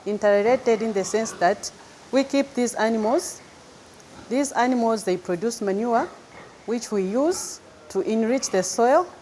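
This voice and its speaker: A woman's voice: fairly high pitch, a little slow, a little mellow, slight background noise, low-quality recording. A woman from Zambia with a Zambian accent, speaking as a farming trainee in a documentary interview.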